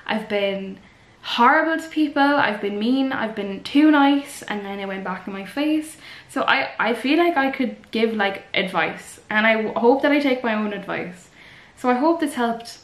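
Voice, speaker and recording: A woman's voice: fairly high pitch, lively intonation, normal speed, hardly any pauses. A young woman vlogger giving earnest, rambling advice to the camera in a casual chat. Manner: chatty, earnest, rambling with fillers. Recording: echoey room.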